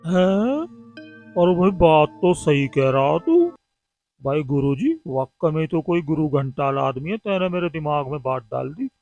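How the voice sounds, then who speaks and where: A man's voice: a little high, unhurried, vocal fry, darkish tone, muffled recording, faint music behind. A man voicing a pompous, foolish king in a cartoon, with a blustering, colloquial manner. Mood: gullible eagerness.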